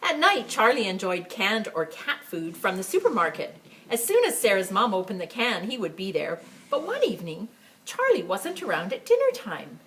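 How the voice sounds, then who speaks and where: A woman reading a picture book aloud to children as a library storyteller, with expressive, emphatic phrasing and chatty asides. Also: medium pitch, moderate pace, a little hiss or hum, low-quality recording. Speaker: story time reader for a school library.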